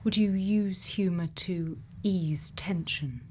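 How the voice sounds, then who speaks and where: Female ASMR performer, caring scientist role, very unhurried and soothing, soft half-whispered delivery, faint noise behind. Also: lowish voice. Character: sweet, caring scientist speaking to a robot friend. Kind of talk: soft-spoken ASMR roleplay, often whispered.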